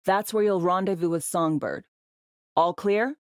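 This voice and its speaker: A tough, sardonic spy handler from a cyberpunk video game, acted by a woman. Her voice sits fairly low in a very dry studio sound. She talks in clipped slang, bossy and teasing, like someone used to running operations. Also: a little slow, no room sound, natural voice. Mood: brisk, wry briefing. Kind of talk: video game voice acting, briefings and radio guidance.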